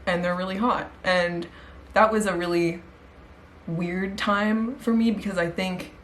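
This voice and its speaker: A teenage girl telling a personal story in an animated, laughing way, recorded on a webcam in her bedroom. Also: mid-range voice, a little slow. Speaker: interviewee. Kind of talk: casual personal account.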